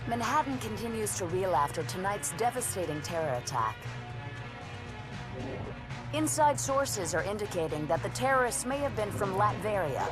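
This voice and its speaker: A woman performs a television newsreader for a video game. The delivery is formal and grave, at a medium pitch. Music runs clearly behind the report. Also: very animated, moderate pace, close to an everyday voice. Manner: formal, scripted news delivery. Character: grave female television news anchor. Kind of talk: video game cutscene acting as news report.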